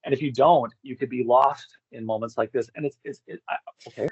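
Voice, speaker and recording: A man's voice: medium pitch, steady tone, fairly quick, husky, no echo, muffled recording. A middle-aged man telling personal stories in a candid, rambling, profane way as an interview guest. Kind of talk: casual personal storytelling.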